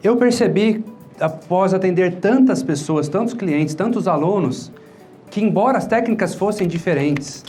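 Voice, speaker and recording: Male Brazilian instructor, somewhat higher voice, didactic question-and-answer lecture delivery, light music bed underneath. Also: a little mellow. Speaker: hypnotherapist and instructor. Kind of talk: instructional lecture explaining a method with examples. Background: background music.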